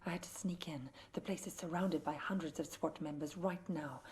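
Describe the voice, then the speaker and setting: An actress playing a secretive handler in a video game, hushed and urgent. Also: female voice, low-pitched, fairly even intonation, normal speed, lightly acted. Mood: hushed urgency. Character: urgent, secretive female handler warning an agent. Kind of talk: video game cutscene acting.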